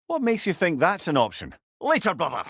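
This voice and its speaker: A man's voice: fairly high pitch, wildly swooping pitch, a little fast, fairly bright tone, breathy edge, no echo, clean studio sound, heavily acted. A man playing a wise, wry old advisor in a video game, a chatty and learned storyteller with a Scottish accent. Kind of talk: video game character dialogue.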